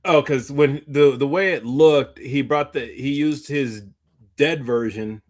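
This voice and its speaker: A man's voice: medium pitch, slow pace, darkish tone. A man chatting casually about movies as a podcast co-host, rambling and often trailing off mid-thought.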